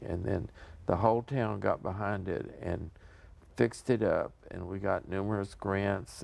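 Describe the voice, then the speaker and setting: An older American man speaking casually as an interview guest, in an earnest, halting, rambling way. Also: low-pitched, vocal fry, darkish tone, dry recording. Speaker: interview guest promoting a community theater show.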